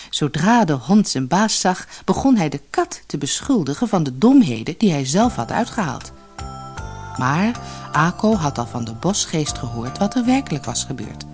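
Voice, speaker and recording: A woman reading a fairy tale as an audiobook storyteller, expressive and measured. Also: low voice. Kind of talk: scripted fairy-tale narration.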